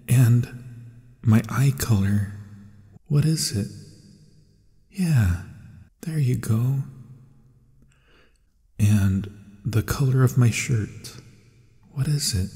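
Man acting as mellow tsundere young bully. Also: medium pitch, breathy edge, no room sound, clean studio sound, heavily acted. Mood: gentle reassuring. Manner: hesitant, stammering, softly spoken asides. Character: tsundere young male bully, teasing but secretly caring. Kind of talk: ASMR roleplay acting.

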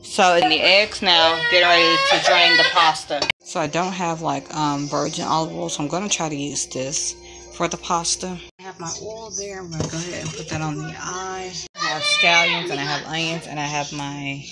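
A woman's voice: sits low, expressive, normal speed, loud music behind the voice. A woman chatting casually through a home cooking video, walking through each step with frequent ums. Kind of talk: casual cook-along narration. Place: home kitchen at the stove.

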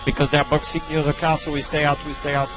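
A man's voice: on the high side, little pitch movement, fast. A man working as a race engineer, giving clipped, procedural instructions over team radio. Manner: clipped, procedural, repetitive phrasing. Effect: team radio transmission. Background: loud car engine and radio noise.

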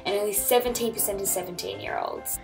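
A young woman from Australia narrates earnestly, set a little back from the mic. Music plays clearly behind her. Her pitch sits in the middle range. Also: quick pace, far from the mic, low-quality recording. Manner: earnest, reading out statistics. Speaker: video creator. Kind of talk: scripted narration over a montage.

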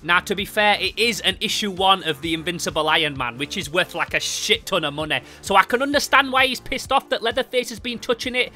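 A man's voice: high-pitched, animated, a little fast, hardly any pauses, sharp tone, faint music behind. A young man hosting an enthusiastic comic review, narrating in an emphatic, sweary way. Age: young adult.

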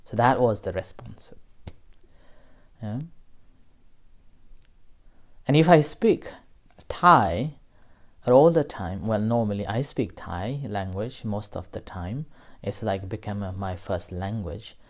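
Slow, soft-spoken man giving a dharma talk. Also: fairly low pitch. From Nepal. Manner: slow, gentle, with pauses and fillers. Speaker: meditation teacher. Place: small room indoors.

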